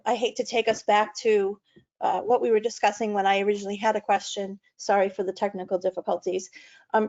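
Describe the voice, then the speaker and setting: A woman's voice: mid-range voice, a little mellow. A woman speaking conversationally as a board member posing a question in an online meeting. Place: remote online meeting call. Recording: dull video meeting audio.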